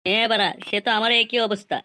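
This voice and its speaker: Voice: mid-range voice, fairly even intonation, very fast, sharp tone, voice pushed up, close to an everyday voice. A man voicing a chatty young club sidekick in a comic cartoon, on a very noisy recording with clicks.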